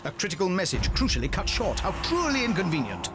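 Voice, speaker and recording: An actor playing a jolly, pompous old-fashioned adventure-serial narrator with an upper-class English accent, in 1930s newsreel style. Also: male voice, high-pitched, huge pitch swings, quick pace. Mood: dramatic, teasing. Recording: loud music behind.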